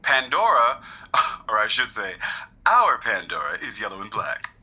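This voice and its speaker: An actor playing a pushy showbiz producer who bosses people around on the phone. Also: male voice, on the low side, big pitch swings, bright, forceful tone, breathy edge, full character voice, rough recording. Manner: pushy, brisk, bossy. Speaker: theatre producer.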